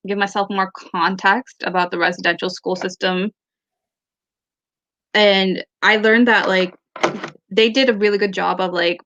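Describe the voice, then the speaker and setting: A woman whose first language is Tamil talks through a book club discussion over a video call, picking her way through her thoughts with fillers and restarts. Her voice is bright and a little tense. The call audio is dull and lo-fi. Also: medium pitch. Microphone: laptop or phone mic on a video call.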